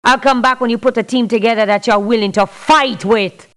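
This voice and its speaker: A woman playing a tough, principled mercenary for hire in a video game, speaking in a blunt, firm, measured way. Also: on the high side, steady tone, close to an everyday voice. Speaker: mercenary character in a strategy game. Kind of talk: video game voice clips, scripted refusals and barks.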